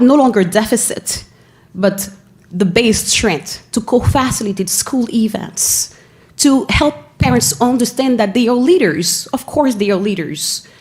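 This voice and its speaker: A woman from Haiti with a Haitian accent, giving an earnest presentation at a school board meeting, often pausing and restarting her phrases. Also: fairly high pitch. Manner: earnest, with frequent pauses and restarts. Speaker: school family engagement staff member.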